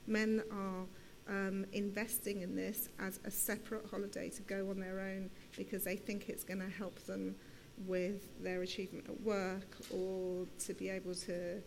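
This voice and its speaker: A woman's voice: medium pitch, flat delivery, normal speed, creaky, a little mellow, low-quality recording. A woman talking informally as a speaker on a conference panel. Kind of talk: unscripted panel discussion. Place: conference session. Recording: faint hum and background noise.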